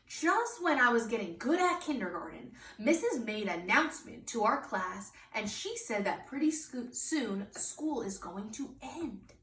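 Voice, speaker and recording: Female storybook reader for young children: expressive, emphatic, a bit distant from the mic with faint noise. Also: fairly high pitch, a little slow, hardly any pauses, echoey room. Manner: emphatic, addresses children directly. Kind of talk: expressive read-aloud narration.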